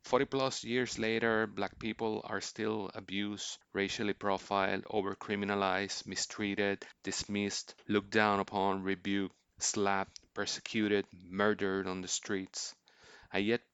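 A man gives a scripted film review as host, in a measured, earnest way. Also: lowish voice, fairly even intonation, a bit bright, smooth, dry recording. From Puerto Rico.

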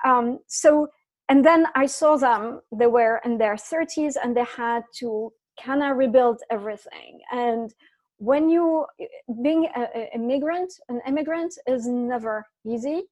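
Guest, woman from Syria, high voice, animated storyteller. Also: measured pace. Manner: animated storyteller, many restarts. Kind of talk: casual interview chat.

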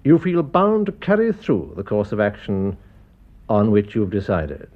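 Measured English physician, richly modulated. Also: male voice, fairly low pitch, wildly swooping pitch, phone-quality sound, full character voice. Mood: measured, probing. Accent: English, Received Pronunciation. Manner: measured, formal, authoritative bedside manner. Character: eminent Harley Street physician, smooth, measured, authoritative man. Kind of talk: classic radio drama acting.

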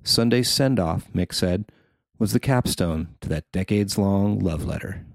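Low-voiced man reading a feature article, dry sound. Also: unhurried, gravelly, no echo. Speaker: newspaper writer reading own article. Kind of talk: scripted narration of a feature article.

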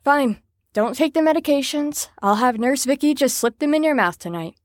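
A woman's voice: expressive, moderate pace, dry recording, clean studio sound. A woman playing a peppy but frazzled, sarcastic supervisor of patients in an audio drama. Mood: exasperated, sarcastic. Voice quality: high-pitched. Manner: perky, folksy, exasperated when challenged.

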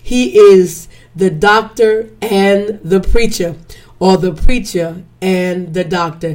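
A woman's voice: mid-range voice, a little mellow. Congested, chatty woman podcast host. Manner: chatty, repetitive, preacherly emphasis. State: congested, slightly hoarse. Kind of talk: casual podcast intro.

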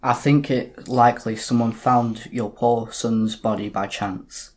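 Man as a sober, even-toned police inspector. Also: medium pitch, natural voice. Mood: calm, consoling. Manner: measured, formal. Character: calm, sober male police detective inspector. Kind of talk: community audio drama narration and dialogue.

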